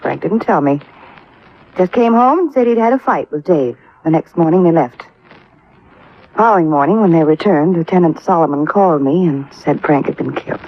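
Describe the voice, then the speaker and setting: An American actress playing a cool, unsentimental widow in a 1950s radio crime drama, composed, curt and evasive. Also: female voice, deep voice, expressive, moderate pace. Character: cool, unsentimental young-sounding widow, defiant under questioning. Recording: muffled vintage radio recording.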